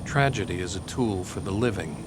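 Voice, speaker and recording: A male actor playing a serious, reflective criminal profiler, reciting quotations in a measured TV drama voice-over. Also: deep voice, very noisy, natural voice. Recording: music under the voice.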